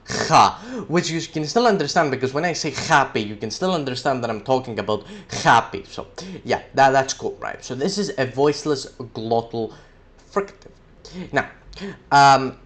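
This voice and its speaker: A young man, a native Greek speaker, explaining letters and sounds in a loose, rambling way, with many ums and uhs. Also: a little high. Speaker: video creator explaining letters. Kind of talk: casual educational talk.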